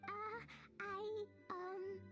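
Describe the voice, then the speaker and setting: A tiny, excitable girl from a video game with a very high voice. She speaks slowly and earnestly, with childlike drama and total innocence. Music plays clearly behind her. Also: full character voice. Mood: sheepish. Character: excitable, guileless little girl who loves bombs.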